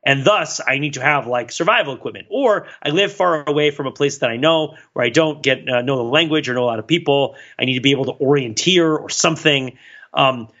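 Male podcast co-host, casual and wry, with a sharp, bright tone on slightly muffled audio. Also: mid-range voice, fairly quick.

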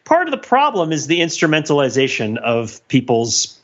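Guy podcast co-host, kinda high voice, brainy rambling chat, bit dull audio. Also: huge pitch swings, moderate pace, fairly bright tone. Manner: wordy, intellectual, frequently checks in with "right?". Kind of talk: casual discussion.

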